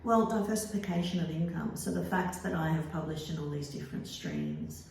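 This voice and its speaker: An Australian woman, an author and teacher, answering interview questions thoughtfully, with many pauses and ums. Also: low-pitched, wildly swooping pitch, creaky, darkish tone. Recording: echoey room.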